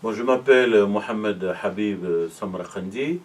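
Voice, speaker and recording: Moroccan-born man explaining at measured pace. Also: lowish voice, far from the mic. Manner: measured, explanatory, many hesitations. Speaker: university arts and culture officer. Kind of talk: interview answers.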